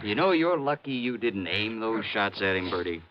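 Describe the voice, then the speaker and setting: A man playing a gruff, wry police sergeant in an old-time radio crime drama. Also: fairly low pitch, sing-song, moderate pace, slight background noise. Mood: wry, calming. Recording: muffled old radio recording.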